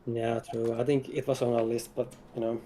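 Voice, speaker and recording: A man's voice: mid-range voice, little pitch movement, moderate pace, warm tone. A man chatting casually as a developer guest on a livestream, over a noisy voice call. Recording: noisy voice call with background ambience.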